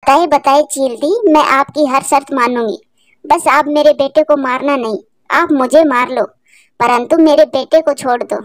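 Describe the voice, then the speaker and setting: A woman's voice: sits high, measured pace, no room sound, clean studio sound. A woman voicing an anxious, emotional mother sparrow in a cartoon, devoted and pleading.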